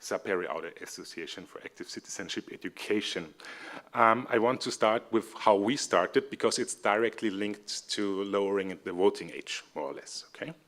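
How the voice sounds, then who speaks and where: A man's voice: lowish voice, moderate pace, fairly bright tone. A young adult man with an Austrian accent giving a conference presentation, friendly and hesitant with many fillers. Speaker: seminar speaker, civic educator.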